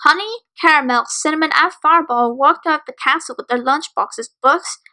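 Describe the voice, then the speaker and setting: A woman's voice: sits high, normal speed, sharp tone. A woman narrating fan fiction as a dramatic story reading.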